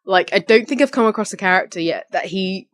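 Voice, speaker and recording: A woman's voice: mid-range voice, brisk. Young woman chatting casually, slightly tired. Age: young adult, about 18. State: slightly tired, recently woken. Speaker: amateur voice actor. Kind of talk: casual question-and-answer vlog.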